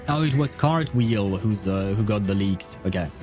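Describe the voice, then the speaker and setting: A man's voice: on the low side, animated, normal speed, music in the background. A man chatting casually as a game livestream host, rambling and opinionated. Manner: rambling, opinionated, often chuckling. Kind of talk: casual gaming livestream chat.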